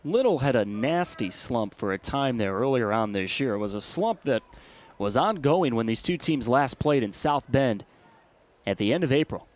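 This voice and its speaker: An American man calling baseball play-by-play as a broadcaster, animated and given to shouting on big plays. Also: medium pitch, moderate pace. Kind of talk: live sports play-by-play commentary.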